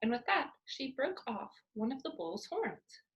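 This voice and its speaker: A woman reading a children's book aloud as a storyteller, with expressive narration for young pupils. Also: high-pitched, unhurried, highs cut off.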